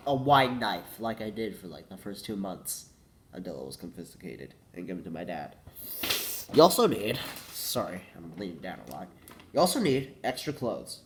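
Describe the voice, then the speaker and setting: Congested young man rambling casually. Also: mid-range voice. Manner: rambling, self-correcting, says "like" a lot. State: congested with a cold, coughing. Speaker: vlogger.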